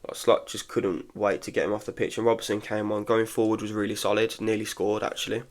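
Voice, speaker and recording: A man's voice: fairly low pitch, flat delivery, a little fast. A congested young man giving frank, chatty opinions in a casual solo football review, full of restarts. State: congested from illness. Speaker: football podcaster.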